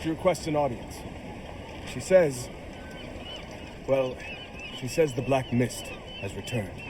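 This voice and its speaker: An actor playing a rough sailor delivering a captain's message in a video game, blunt and colloquial. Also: male voice, mid-range voice, expressive, slow pace, background noise. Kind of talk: video game character acting.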